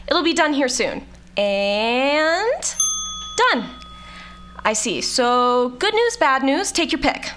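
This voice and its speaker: A woman playing a snarky, brisk scientist in an audio drama, with a dry, instructing manner. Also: sits high, sing-song, a bit bright, a little hiss or hum, exaggerated voice. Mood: practical, brisk. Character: snarky, brisk female scientist exploring a planet.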